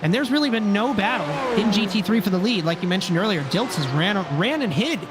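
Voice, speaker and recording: A man with a raspy voice from a sinus infection, commentating a sim race in a relaxed, chatty way that often corrects itself. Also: sits high, fairly quick, background noise. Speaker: lead commentator. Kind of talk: sim racing play-by-play commentary.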